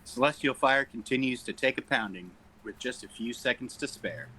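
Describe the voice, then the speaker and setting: A man's voice: mid-range voice, moderate pace, bright, forceful tone, a little hiss or hum, rough recording. A man reading stage directions as narrator at an informal sci-fi script table read.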